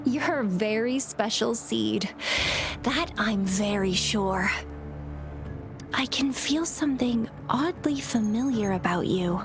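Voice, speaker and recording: A woman's voice: a little high, expressive, slow pace. A woman playing a teasing, mysterious and dangerous young woman in a video game, taunting and self-assured. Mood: playful menace. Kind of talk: scripted video game acting. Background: music.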